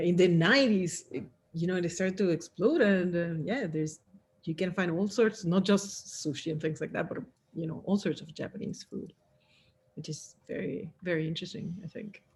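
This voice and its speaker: Husky middle-aged woman chatting casually. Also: fairly low pitch, a little mellow. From Venezuela. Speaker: session host. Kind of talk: casual group chat.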